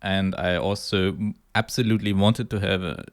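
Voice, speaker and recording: A man's voice: deep voice, normal speed. A man talking casually to camera about a personal hobby project, thinking aloud and correcting himself as he goes. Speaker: hobby guitar builder presenting own project.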